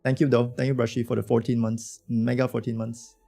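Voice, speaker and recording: A man streaming a game with a hoarse voice from a cold, chatting loosely and slangily with his viewers. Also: mid-range voice, little pitch movement, a little fast, dark tone, soft background music. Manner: slangy, rambling, talks to chat. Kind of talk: casual livestream chat reacting to a game.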